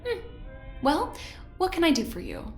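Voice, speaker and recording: An actress playing a smug corporate research director in an audio drama, falsely sweet, condescending and theatrically polite. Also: female voice, very high voice, huge pitch swings, measured pace, roomy sound, faint music behind, exaggerated voice. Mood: coy, falsely friendly.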